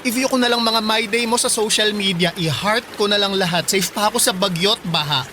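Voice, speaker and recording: A woman's voice: mid-range voice, fairly quick, background noise, close to an everyday voice. A woman playing a teasing, sarcastic teenage best friend in a Filipino radio drama, bantering bluntly and exclaiming. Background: music.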